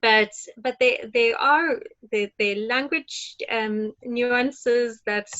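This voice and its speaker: A woman with a somewhat high voice shares her experience on an online panel. She talks earnestly, pausing and restarting often. The audio is muffled and lo-fi, like a video call. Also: sharp tone. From moved abroad to Ireland. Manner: earnest, reflective, many restarts and ums.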